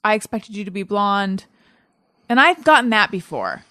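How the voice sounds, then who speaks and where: Woman, podcast host, playful, very expressive pitch, dry studio sound. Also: normal speed, dry recording. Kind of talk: casual chat, quiz hosting.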